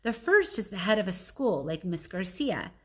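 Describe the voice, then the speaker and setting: A woman voicing a patient father in a storybook, explaining things with gentle teasing. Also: medium pitch, very animated, moderate pace, slightly rough.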